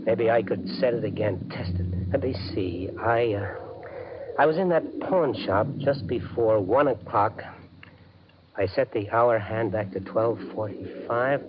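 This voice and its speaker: An actor playing a desperate, excitable gambling everyman in a 1950s radio suspense drama, with intense, emphatic delivery. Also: on the low side, unhurried, background noise, close to an everyday voice. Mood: bewildered muttering. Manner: intense, muttering to himself, emphatic. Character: desperate gambling-addicted everyman bank worker, excitable and brooding. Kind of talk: 1950s radio suspense acting, first-person narration and dialogue. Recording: dull 1950s radio recording, loud music.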